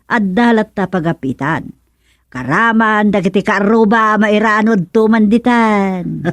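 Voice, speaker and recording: A woman's voice: mid-range voice, unhurried, no room sound, close to an everyday voice. A woman playing an industrious, thrifty older village gardener in an Ilocano radio comedy drama, with an Ilocano accent. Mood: proud, homely.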